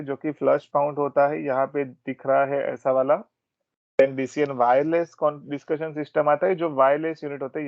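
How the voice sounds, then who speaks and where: Indian guy doing an informal training talk, hindi english mix, crackly fry in the voice, dry close sound. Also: a little high, no echo. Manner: explanatory, switches between Hindi and English. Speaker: technical product trainer at a systems company. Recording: dull, band-limited close audio.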